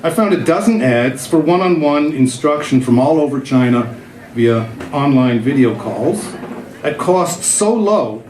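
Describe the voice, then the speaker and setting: A man's voice: fairly high pitch, animated, a little slow, darkish tone, a little hiss or hum. A middle-aged man, a writer and former cartoonist, reading his humorous column aloud at a literary event in a wry, self-deprecating way. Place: literary festival venue. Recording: echoey room.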